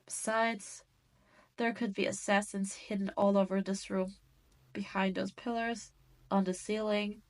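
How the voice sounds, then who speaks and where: A woman's voice: medium pitch, close to an everyday voice. A woman playing a cruel, gloating demon queen in a scripted audio roleplay, condescending and taunting.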